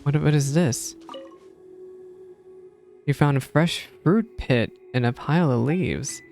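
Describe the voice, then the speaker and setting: A woman's voice: deep voice, slow pace, creaky, a little mellow, faint music behind. Woman streamer reacting to a game. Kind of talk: casual game reaction commentary, reading on-screen text aloud.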